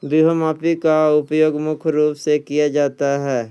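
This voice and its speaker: A man's voice: on the high side, flat delivery, measured pace, dark tone, clear, resonant tone, muffled recording. A man working as an exam coach, reading quiz questions and answers aloud in an instructional way, often repeating them.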